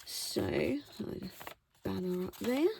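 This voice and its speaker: Slow, croaky woman showing her crafts. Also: medium pitch, wildly swooping pitch, a little mellow, a little hiss or hum. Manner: rambling, self-correcting, with little laughs and fillers. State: croaky, getting over a cold. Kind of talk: casual show-and-tell narration.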